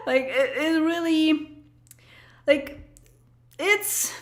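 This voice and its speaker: A woman's voice: high-pitched, very slow. A woman in her late twenties with a Polish accent, chatting casually on a livestream and answering comments, with lots of fillers, restarts and laughs. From Poland. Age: late 20s. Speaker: streamer.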